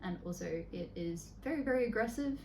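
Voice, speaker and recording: A woman, a guest in a casual interview. She talks in a relaxed, frank way with many fillers. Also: a little high, a little fast. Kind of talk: casual chat. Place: indoor room during a makeup session. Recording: echoey room, faint background hiss.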